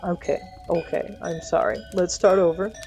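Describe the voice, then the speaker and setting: A woman voicing a proud but grudgingly apologetic male mail carrier in an audio story, placating and halting. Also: on the low side, a little mellow, faint music behind, lightly acted.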